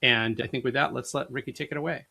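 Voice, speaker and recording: A man's voice: mid-range voice, fairly even intonation, fast, vocal fry. A man with an everyday mid-range voice hosts a podcast, setting up the episode and leading into his questions. He sounds upbeat and engaged. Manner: upbeat, introduces and asks questions. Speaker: podcast co-host. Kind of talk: podcast hosting.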